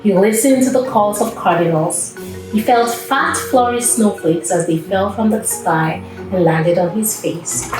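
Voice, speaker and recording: Female storytime host, slow child-directed delivery, recorded far from the mic in a very echoey room. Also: medium pitch, darkish tone. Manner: simple, child-directed phrasing with pauses. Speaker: children's read-aloud host. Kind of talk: slow storybook read-aloud, asking young listeners questions. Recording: distant mic in echoey room, background music.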